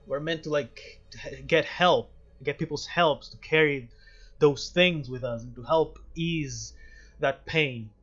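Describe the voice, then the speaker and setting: A man's voice: on the high side, a little slow, a bit bright, highs cut off, soft background music. A man hosting a casual game playthrough, talking reflectively and often repeating his phrases as he goes. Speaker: gaming video host.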